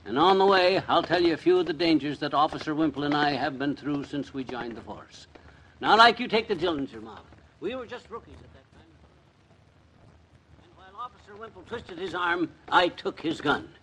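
An actor playing a blustery, boastful husband in an old-time radio comedy, bossy and excitable. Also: male voice, fairly high pitch, moderate pace, slight background noise, low-quality recording. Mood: cocky.

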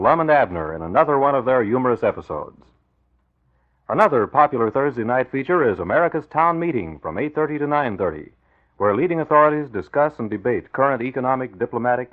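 Tinny old-time radio announcer, male. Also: mid-range voice. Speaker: announcer and narrator. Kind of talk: 1940s radio announcing, dramatic scripted narration. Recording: tinny, band-limited old radio recording.

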